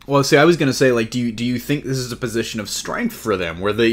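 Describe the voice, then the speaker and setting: A man's voice: fairly low pitch, fairly bright tone. A man hosting a casual podcast discussion, talking in a rambling way and rephrasing his questions as he goes. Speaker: podcast host.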